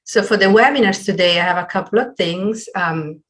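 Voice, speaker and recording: A middle-aged woman hosting a webinar on a video call from a small room at home, introducing things in a halting, partly read way. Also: mid-range voice, fairly bright tone. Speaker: webinar host. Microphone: computer video call.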